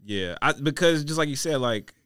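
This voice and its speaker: A man's voice: a little high, animated, moderate pace, fairly bright tone, clear, resonant tone, dry recording, clean studio sound. An American man chatting casually as a podcast panelist, agreeable and informal, feeling his way through his points. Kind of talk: casual chat. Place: podcast studio.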